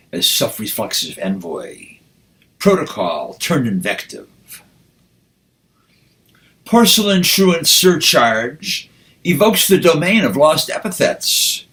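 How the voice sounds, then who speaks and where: A man, a poet, speaking and reciting with deliberate, measured phrasing. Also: mid-range voice, pushed and bright, rough recording. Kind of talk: spoken introduction and poetry recitation.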